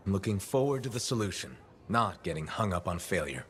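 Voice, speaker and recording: A man acting as a steady, plain-spoken freelancer hero in a video game, direct and dryly amused. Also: low-pitched, fairly quick. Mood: earnest. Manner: direct, dry, questioning.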